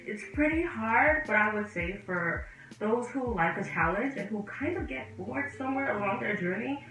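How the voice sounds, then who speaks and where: Bahamian woman vlogging in an echoey room. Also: medium pitch. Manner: lively and animated, gesturing with both hands, chatty and direct to viewers. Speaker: vlogger and medical student. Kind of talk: casual sit-down vlog talking to camera. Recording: echoey room, voice a bit distant, faint music behind.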